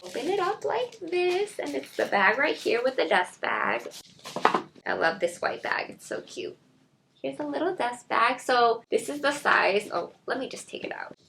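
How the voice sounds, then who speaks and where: A woman in her twenties, a little raspy today, gushing through a casual unboxing vlog in her bedroom with lots of filler words. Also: sits high, big pitch swings, normal speed, fairly bright tone, a little hiss or hum, poor audio. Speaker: beauty and fashion vlogger. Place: bedroom, indoors.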